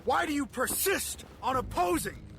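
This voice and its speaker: A man's voice: extremely high pitch, expressive, moderate pace, creaky, a bit bright, a little hiss or hum, exaggerated voice. An actor playing a grief-stricken, bitter villainous father in an audio drama, speaking in theatrical, accusatory questions. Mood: accusing, angry.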